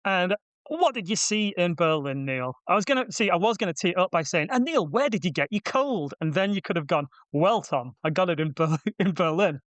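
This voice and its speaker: Bantering guy on a film podcast, slightly high voice, dry close mic. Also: lively intonation, brisk, a bit bright, no echo. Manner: teasing, jokey banter. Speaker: podcast co-host, film reviewer. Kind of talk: casual film chat.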